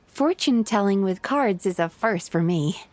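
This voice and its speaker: An actress playing a gentle, polite, thoughtful young professional woman in a video game. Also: medium pitch, lively intonation, moderate pace, natural voice. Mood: hopeful, polite. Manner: polite, thoughtful, soft-spoken. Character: gentle, polite young female psychologist and aspiring writer. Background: music.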